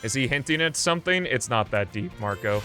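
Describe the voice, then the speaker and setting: A man's voice: medium pitch, animated, a little fast, sharp tone. A man doing casual live video game commentary, thinking aloud in a rambling, offhand way as he plays. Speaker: video game streamer and host. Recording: game music behind the voice. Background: video game music.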